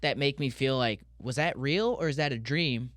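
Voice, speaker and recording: A man's voice: mid-range voice, moderate pace, fairly bright tone, no echo, clean studio sound. A man in his thirties from Colorado, a pro skateboarder talking as a podcast guest, candid and rambling with lots of restarts. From Colorado, USA. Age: 30s. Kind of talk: casual podcast interview.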